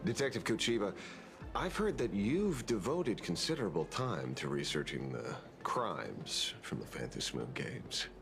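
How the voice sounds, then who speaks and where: Theatrical, swooping-voiced private detective. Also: male voice, low-pitched, very animated, a little slow, creaky, noisy background, heavily acted. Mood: probing. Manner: theatrical, musing, playful. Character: theatrical, self-assured male private detective. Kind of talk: dramatic video game dialogue.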